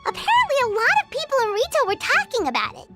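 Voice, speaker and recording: A woman voicing a small, chirpy, childlike fairy companion in a video game, eager and nosy, with a squeaky voice. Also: huge pitch swings, quick pace, full character voice. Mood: curious, chatty. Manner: refers to herself in the third person. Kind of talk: video game cutscene dialogue. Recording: loud music under the voice.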